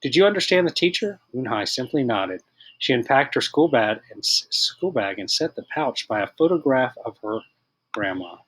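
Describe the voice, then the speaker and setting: A man reading a children's picture book aloud as scripted narration, in an expressive manner. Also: mid-range voice, normal speed, husky, low-quality recording. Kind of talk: children's storybook read-aloud.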